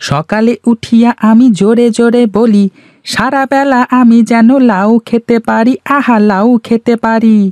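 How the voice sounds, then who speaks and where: A man's voice: very high voice, fairly even intonation, a little slow, darkish tone, no echo, clean studio sound, voice pushed up. A man voicing an eager, boastful little village boy in a cartoon story. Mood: sing-song, cheerful. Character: excitable little village boy who adores gourds.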